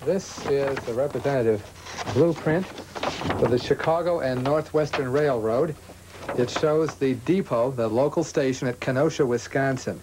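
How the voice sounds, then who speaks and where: A man's voice: mid-range voice, a little slow, noisy background. An American man, a shopkeeper, explaining things in an interview. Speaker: bookstore owner being interviewed.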